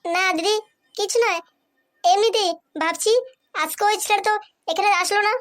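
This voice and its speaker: A woman's voice: extremely high pitch, fairly even intonation, normal speed, fairly bright tone. A woman voicing a coy, hesitant, lovestruck younger sister in a comic cartoon.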